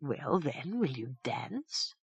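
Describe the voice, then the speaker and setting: A woman doing a soft, silken, vain fairy. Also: a bass voice, very animated, takes it slowly, creaky, slightly rough, no echo, lo-fi sound, heavily acted. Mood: airy. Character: vain, sly fairy mother, silken and sullen.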